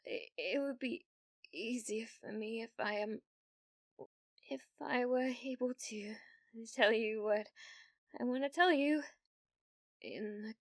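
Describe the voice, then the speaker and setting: High-voiced British gamer girl, acted by a woman. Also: no echo, clean studio sound. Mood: flustered, eager. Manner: halting, flustered, breathy laughs and hesitations. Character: needy, obsessive young gamer woman, nervous and awkward. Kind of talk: ASMR roleplay.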